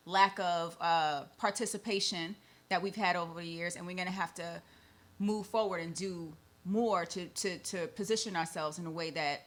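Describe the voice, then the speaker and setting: Female radio host, lower-register voice, conversational delivery with plenty of "like" and "you know". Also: steady tone, normal speed.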